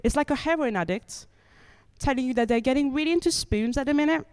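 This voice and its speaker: A woman's voice: high-pitched, quick pace, darkish tone, clear, resonant tone, dry recording. A young woman from France performing stand-up comedy with a wry, chatty delivery that keeps checking in with the audience. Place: comedy club stage.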